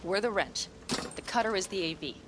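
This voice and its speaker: A woman's voice: medium pitch, animated, brisk, noisy background, lightly acted. An actress voicing a blunt, self-assured female desert nomad in a video game who lays out plans in a dry, matter-of-fact way. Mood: calm, assured. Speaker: game character.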